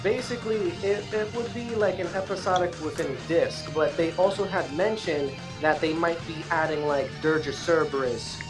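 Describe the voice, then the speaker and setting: A man's voice: fairly high pitch, normal speed, few pauses. A man speaking as a guest in a casual discussion, in an informal, conversational way. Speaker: guest panelist. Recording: voice under clearly audible music. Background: music.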